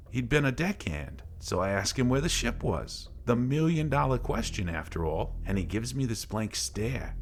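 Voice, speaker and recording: A man's voice: medium pitch, creaky, a little hiss or hum. A man voicing a boastful drunken barfly, a former Coast Guard medic, telling coarse, rambling tales in a horror narration. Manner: slurred, coarse, rambling talk.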